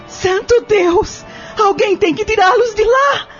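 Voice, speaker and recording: An actress playing a compassionate, devout farm lady in an audio drama, earnest and emotive, with a husky voice. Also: very high-pitched, takes it slowly. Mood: desperate, tearful. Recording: dull lo-fi audio with music underneath.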